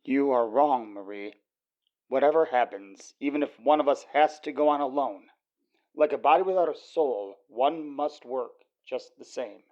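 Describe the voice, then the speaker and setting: A man's voice: mid-range voice, normal speed, close to an everyday voice. Man playing a solemn scientist husband, slightly muffled. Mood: firm, solemn. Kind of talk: old-time radio drama acting.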